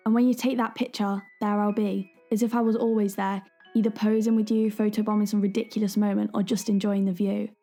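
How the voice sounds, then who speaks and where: Woman playing a mellow-voiced, loving daughter. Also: mid-range voice, flat delivery, brisk, faint music behind, lightly acted. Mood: tender, explaining. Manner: gentle, heartfelt, conversational. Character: deceased young adult daughter, loving, earnest, reassuring. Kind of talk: audio drama letter monologue.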